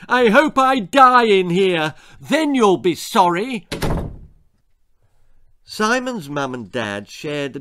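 A man's voice: medium pitch, clear, resonant tone. An older man reading a children's story aloud in a theatrical, dramatic way. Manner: animated, theatrical delivery. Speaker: author reading his own story. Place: small book-lined room indoors.

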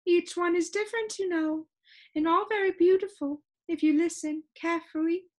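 Storybook soundkeeper, played by a woman: high, soft, singsong, wistful, genteel and dreamily chatty. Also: fairly even intonation, normal speed. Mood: dreamy, rapt. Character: gentle, dreamy, talkative woman soundkeeper who adores silence.

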